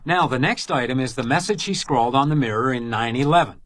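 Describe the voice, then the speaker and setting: An actor playing a driven, brooding house detective in a radio crime drama. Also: male voice, mid-range voice, fast, fairly bright tone, no echo, close to an everyday voice. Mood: intent.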